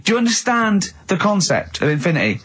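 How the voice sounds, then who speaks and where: An exasperated man hosts comic radio banter. His voice swings widely in pitch and has a rough, husky edge. He badgers and interrupts as he goes. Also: sing-song, a little fast, fairly bright tone. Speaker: radio show host.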